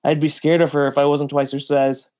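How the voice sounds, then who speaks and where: A man reading a big, seasoned pirate bounty hunter from a comic, wry, measured and explanatory. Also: on the high side, little pitch movement, mellow, muffled recording, natural voice.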